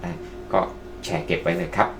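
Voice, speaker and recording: A man's voice: moderate pace, fairly bright tone, slight background noise. A man presenting casually to online viewers as a tutorial host, addressing them politely as friends. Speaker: presenter of video-making tutorials. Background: music.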